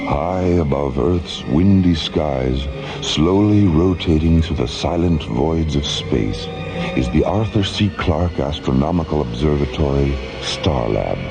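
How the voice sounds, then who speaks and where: An actor narrating a space radio drama in a solemn, dramatic documentary style. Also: male voice, very deep voice, lively intonation, measured pace, heavily acted. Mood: grave, dramatic. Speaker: announcer and narrator. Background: loud music and effects.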